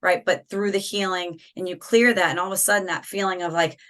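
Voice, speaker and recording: A woman hosts a relaxed interview over a video call. Her voice is bright and forward, though a slight rasp lingers from recently losing it. She talks casually and affirms her guest often. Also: fairly low pitch, a little fast. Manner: warm, affirming, frequent agreeing interjections. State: slightly raspy from a lost voice. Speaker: podcast host.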